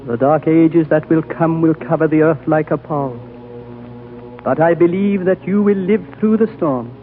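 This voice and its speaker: An actor playing an ancient, wise high lama in a 1930s radio drama, speaking slowly and gravely on a thin, old broadcast recording. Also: male voice, close to an everyday voice. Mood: solemn. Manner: slow, grave, prophetic. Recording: old phone-like radio broadcast, faint music.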